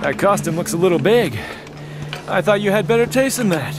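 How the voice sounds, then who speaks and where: Cocky, animated demon hunter. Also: male voice, high voice, brisk. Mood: cocky teasing. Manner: swaggering, flippant, drawled quips. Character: cocky, wisecracking middle-aged demon hunter. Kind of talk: action video game voice acting. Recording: loud music behind the voice.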